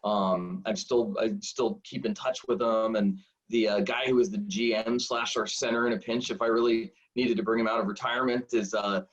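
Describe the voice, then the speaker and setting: A man in his forties, a high school football coach, telling stories in a rambling, self-deprecating way full of fillers. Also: fairly even intonation, normal speed, hardly any pauses. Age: 40s. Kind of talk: casual storytelling interview. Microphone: video call. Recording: dull lo-fi video call audio.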